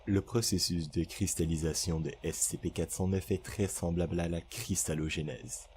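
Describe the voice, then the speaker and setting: Homme à voix grave, narration d'horreur. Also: male voice, low voice, dark tone, a little hiss or hum. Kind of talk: scripted horror narration, clinical report read as an audio log.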